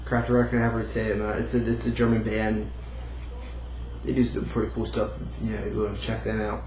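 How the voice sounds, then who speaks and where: A man giving a casual, unscripted hobbyist demo, rambling and thinking out loud as he goes. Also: on the low side, monotone, brisk. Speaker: hobbyist demonstrating a homemade build. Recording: roomy echo, clear background noise.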